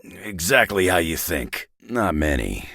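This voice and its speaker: An actor playing a gruff, century-old mutant superhero loner in a video game, with a gravelly North American voice and a dry, sardonic, clipped delivery. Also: male voice, low-pitched, very animated, slow pace, pushed and bright, no echo, full character voice. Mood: wry, low-key. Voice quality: gravelly, husky growl. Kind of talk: video game character voice lines.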